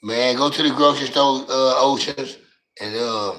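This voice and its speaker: A middle-aged man with a raspy voice and a Louisiana accent, chatting on his livestream in slangy, rambling talk and often tripping over his words. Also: mid-range voice, moderate pace, a bit bright, reverberant, poor audio. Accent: Louisiana Southern US. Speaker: livestream host. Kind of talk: casual livestream chat.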